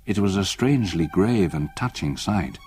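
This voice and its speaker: An older actor playing an elderly retired solicitor, gravely narrating a haunting memory in a husky voice for radio drama. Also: male voice, low voice, expressive, moderate pace, darkish tone, faint music behind. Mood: hushed, uneasy.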